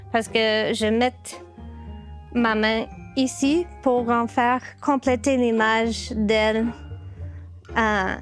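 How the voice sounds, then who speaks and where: Woman talking french, slow and halting, lots of ums, kinda high voice, faint music behind. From United States (Baltimore, Maryland). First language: English. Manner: hesitant, many filler sounds and restarts. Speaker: puppeteer. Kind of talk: interview answers. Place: her puppet workshop.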